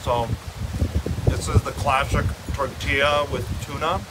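A man's voice: mid-range voice, steady tone, unhurried, heavy background noise. A young man chatting outdoors on a hike, joking dramatically and hedging with lots of "like". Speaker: hiking guest. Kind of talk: casual chat. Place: outdoors at a picnic area in a forest.

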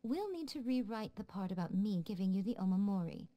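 Brief: a woman playing a teasing, self-assured fox priestess and publisher, mellow game voice. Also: mid-range voice, big pitch swings, brisk, soft background music, lightly acted. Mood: businesslike. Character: sly fox-eared shrine priestess who runs a publisher, adult female. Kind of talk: video game voice acting.

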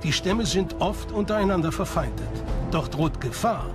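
A man's voice: high-pitched, lively intonation, moderate pace. A man reading scripted documentary narration. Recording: music behind the voice. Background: background music.

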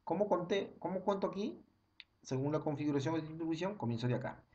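Guy teaching a class online, mellow voice, dull webcam sound, keeps repeating steps. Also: fairly high pitch, expressive, fast. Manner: repetitive, step-by-step counting, frequent "ojo" and "ya". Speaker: chemistry teacher. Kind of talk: online class lecture over slides.

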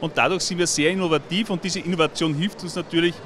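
A man's voice: a little high, fairly even intonation, fast talker, a bit bright. A man, a company executive explaining his network fluently in an interview. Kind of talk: event interview.

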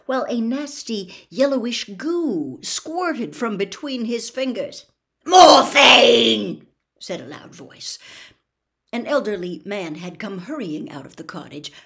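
A woman in her sixties narrating a storybook expressively, reading aloud at home. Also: mid-range voice. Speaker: audiobook reader. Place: small home room with bookshelves, indoors.

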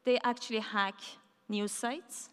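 A woman giving a conference keynote on a large dark stage, talking in a loose conversational way with fillers and restarts. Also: fairly high pitch, fairly even intonation, a little slow, clear, resonant tone. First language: not Hebrew. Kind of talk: conference talk. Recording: hall reverb from a large stage.

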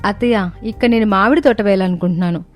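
A woman playing a cheerful, eager young city-bred daughter-in-law in an animated moral story. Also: mid-range voice, quick pace, lightly acted. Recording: music bed under the voice.

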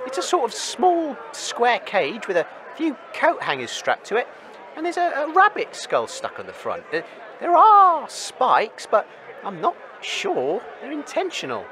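A man's voice: very high-pitched, wildly swooping pitch, measured pace, sharp tone, exaggerated voice. A man playing a flustered, hesitant wasteland radio reporter giving live commentary in a comedy radio drama. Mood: hesitant, underwhelmed. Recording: noisy crowd ambience behind.

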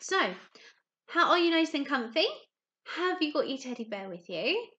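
A young woman talks and reads to small children as their teacher. Her voice is high, with lively swings in pitch. She phrases things simply and expressively, often putting questions to the listener. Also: moderate pace, lo-fi sound. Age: young adult. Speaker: primary school teacher. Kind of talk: children's story time, talking to young pupils and reading aloud. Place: small room at home, indoors.

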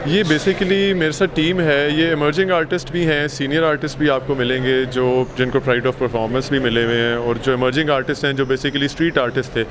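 A man's voice: mid-range voice, fairly even intonation, a little fast, few pauses, a crowd in the background. A man in his twenties with a Pakistani accent, explaining in an interview and mixing in English phrases. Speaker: art gallery CEO, interviewee. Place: indoor expo art stall. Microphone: handheld reporter microphone. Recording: noisy exhibition hall ambience.

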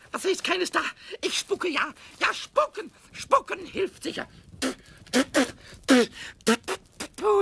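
Squawky, very high-pitched little kobold. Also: male voice, exaggerated voice. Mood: panicked. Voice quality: squawky, croaky. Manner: stammering, repeating words excitedly. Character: small, excitable, mischievous male kobold, childlike and boastful. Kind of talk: children's audio play.

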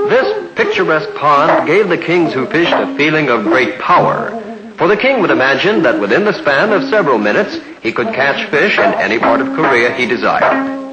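A middle-aged man giving formal, measured 1950s newsreel narration. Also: medium pitch. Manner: measured, formal announcer delivery. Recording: dull lo-fi 1950s newsreel soundtrack with loud music. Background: loud orchestral music.

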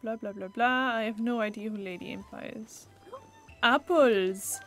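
A woman's voice: fairly high pitch, moderate pace, a bit bright, soft background music. A young woman with a Dutch accent streaming a game, casually and sarcastically talking back to the characters. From Netherlands. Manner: sarcastic, chatty, jokes at the game. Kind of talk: casual gameplay commentary.

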